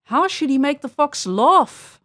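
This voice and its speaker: A woman storyteller reading a children's tale aloud with expressive storybook phrasing. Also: high voice, measured pace.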